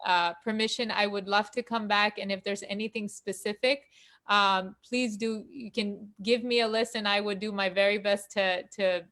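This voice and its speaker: A woman guest musician speaking humbly on a video call, soft-spoken with halting restarts. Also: mid-range voice, fairly even intonation. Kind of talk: casual thanks on a video call.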